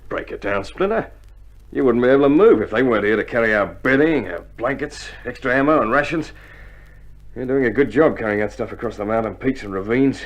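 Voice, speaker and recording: Actor as a dry, seasoned Australian soldier. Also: male voice, mid-range voice, expressive, brisk, highs cut off, close to an everyday voice. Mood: steady, chiding. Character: steady forty-four-year-old Australian infantryman, dry and seasoned. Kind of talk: 1960s radio drama acting.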